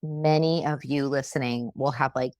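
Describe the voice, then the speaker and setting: Woman functional medicine doctor, guest, low voice, measured, emphatic phrasing, dry close recording. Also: fairly even intonation, a little mellow, dry recording. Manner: deliberate, emphatic, slows down on key words. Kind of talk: podcast interview.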